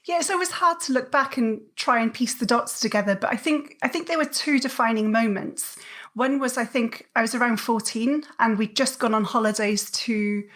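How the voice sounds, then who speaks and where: A woman's voice: fairly high pitch, moderate pace, a bit bright. A woman from South Wales with a Welsh accent, a podcast guest thoughtfully telling her life story. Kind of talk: reflective interview storytelling.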